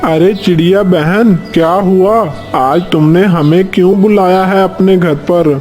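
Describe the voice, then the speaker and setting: Guy doing a snippy parrot in a kids cartoon, high voice, full of himself, music going behind. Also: steady tone, a little slow, darkish tone. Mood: questioning. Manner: boastful, scornful, simple phrasing. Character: conceited, quarrelsome male parrot. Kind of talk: Hindi children's cartoon story acting.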